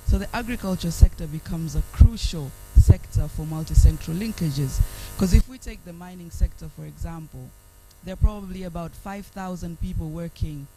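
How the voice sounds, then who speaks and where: A woman from Tanzania with a Tanzanian accent, giving an earnest, measured talk as a panelist at a conference. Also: fairly low pitch, warm tone, a little hiss or hum, low-quality recording. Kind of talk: panel presentation.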